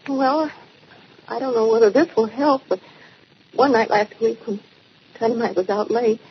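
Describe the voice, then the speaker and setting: An actress playing a bitter, weary widow in a halting, dramatic 1940s radio style. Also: female voice, a little high, natural voice. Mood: weary, resigned. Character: bitter, weary adult widow of a murdered man. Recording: muffled, very noisy old radio recording.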